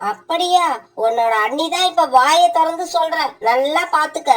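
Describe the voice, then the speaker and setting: A woman voicing a greedy, sharp-tongued young wife bird in a cartoon, with a scolding, bossy manner. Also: very high-pitched, moderate pace.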